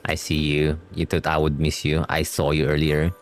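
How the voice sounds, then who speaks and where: A man's voice: a bass voice, completely flat delivery, moderate pace, slight background noise. A man doing casual, slangy gameplay reaction commentary as a streamer. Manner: slangy, informal.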